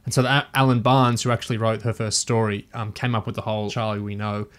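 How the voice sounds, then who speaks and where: A man's voice: on the low side, little pitch movement, fast, a bit bright. A man chatting casually and thoughtfully as a panelist on a review podcast, feeling his way through his points.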